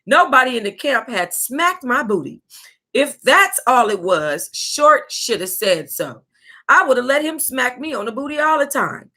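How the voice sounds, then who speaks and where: A woman's voice: sits high, unhurried. An American woman hosting a book club, reading a tell-all aloud in a casual, sassy way with reactive asides. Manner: sassy, animated reactions, breaking into laughter and asides.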